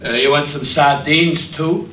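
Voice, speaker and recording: An actor playing a bumbling, eager, slow-witted police sergeant as comic relief in a radio drama, with drawn-out doltish delivery. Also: male voice, fairly high pitch, steady tone, lightly acted. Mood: dim, eager. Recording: muffled, very noisy old radio recording.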